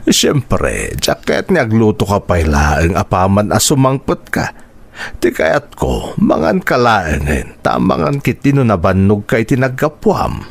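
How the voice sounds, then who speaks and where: An actor with a Filipino accent playing a gentle, earnest father protective of his son in a radio drama. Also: lowish voice, very animated, measured pace, gravelly, exaggerated voice. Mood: weary, coaxing.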